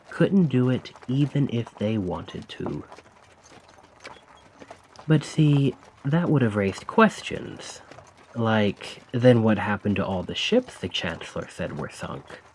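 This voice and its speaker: Sly carriage driver played by a man, vengeful and coldly taunting, unhurried ASMR drama delivery, faint noise with horse hooves behind. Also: fairly low pitch, a little mellow, heavily acted. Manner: slow, sardonic, conspiratorial, taunting. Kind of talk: ASMR roleplay audio drama monologue.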